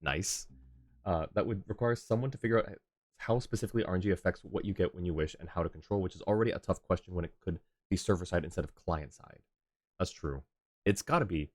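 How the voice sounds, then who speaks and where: Deep-voiced man livestreaming a game. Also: quick pace, no room sound. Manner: muttering, thinking aloud in short bursts. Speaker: game live streamer. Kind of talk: casual livestream gameplay commentary, reacting and talking to chat. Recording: game music behind the voice. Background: video game music.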